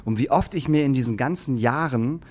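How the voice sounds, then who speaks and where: Man, podcast co-host, husky, agreeable, casual chat. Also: medium pitch, a little fast, darkish tone. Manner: agreeable, frequent short backchannels.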